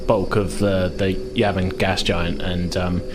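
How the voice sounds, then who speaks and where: A man narrating a tabletop game as game master, with measured, descriptive storytelling. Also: low voice, little pitch movement, background noise.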